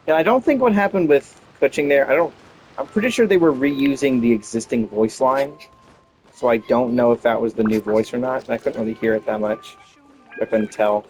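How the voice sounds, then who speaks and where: A man's voice: medium pitch, darkish tone, low-quality recording. A man giving casual gameplay commentary, rambling and thinking aloud as he goes. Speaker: gamer commenting on own playthrough.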